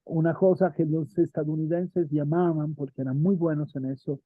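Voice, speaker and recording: Casting: male teacher, husky and fairly high, discursive lecturing, thin dry phone-like audio. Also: steady tone, moderate pace, no echo. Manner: thinks aloud, asks students questions, frequent "¿no?". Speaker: theater history teacher.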